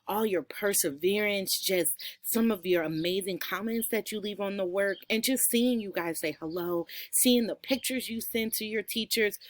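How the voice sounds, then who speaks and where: A woman teacher reading a storybook aloud to children in an enthusiastic, expressive way. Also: medium pitch, dry recording.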